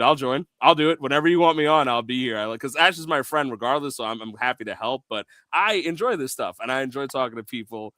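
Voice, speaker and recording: A man from Guyana chats casually on a podcast with a crisp, resonant voice. He jokes a lot and restarts his sentences often. The sound is dry and close to the mic. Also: mid-range voice, no echo. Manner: animated, joking, lots of restarts and laughs. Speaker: podcast co-host. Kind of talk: casual chat.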